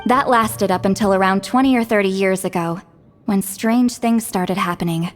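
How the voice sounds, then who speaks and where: An actress playing a wise, wry elderly woman who guides spirits, speaking in an unhurried storytelling way for a video game. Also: medium pitch, faint music behind, natural voice. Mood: solemn storytelling.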